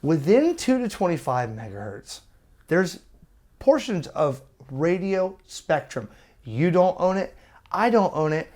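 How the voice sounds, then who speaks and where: A man giving an opinionated, exasperated video rant to his viewers in a blunt, emphatic way. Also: a little high. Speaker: ham radio YouTuber.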